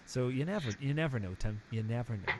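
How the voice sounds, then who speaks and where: A man's voice: mid-range voice, animated, fast, background noise. Chatty Northern Irish man in his thirties. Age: 30s. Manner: chatty, joking, rambling asides. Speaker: host. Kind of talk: casual live-stream interview chat.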